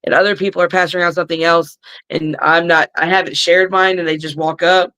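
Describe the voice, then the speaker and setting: A middle-aged woman with a raspy voice, a wrestler guest chatting bluntly and crudely on a video call from her living room. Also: on the low side, flat delivery, a little fast, bright, forceful tone. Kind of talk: casual podcast chat.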